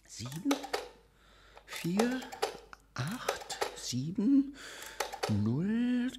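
A man's voice: high voice, sing-song, very slow, very noisy, heavily acted. A man playing a tiny, odd, secretive and fussy male creature in a children's radio play, with quirky clipped speech. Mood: officious, secretive.

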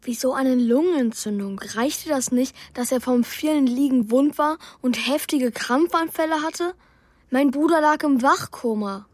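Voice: sits high, measured pace. A performer voicing an earnest, troubled young boy who tells his story in a children's radio play. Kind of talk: radio play narration and dialogue.

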